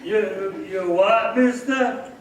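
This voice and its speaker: A man's voice: sits high, very slow, far from the mic. A man voicing a plainspoken, easily spooked bridge keeper in a radio drama, folksy and abrupt. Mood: baffled. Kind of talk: live old-time radio drama acting. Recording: echoey, noisy theater stage from afar.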